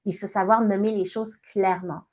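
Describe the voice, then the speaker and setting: A woman in her fifties from Montreal with a Québécois accent, answering interview questions in a measured way with many hesitations. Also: mid-range voice, steady tone, a little mellow. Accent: Québécois French. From Montreal, Canada. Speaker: guest, entrepreneur and coach.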